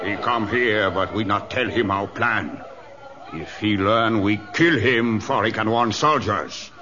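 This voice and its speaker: A proud, scheming chief in an old radio adventure. The voice is low, forceful and slow, declaiming in broken English through a dull, lo-fi recording. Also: male voice, a faint crowd, slight background noise. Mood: fierce, commanding.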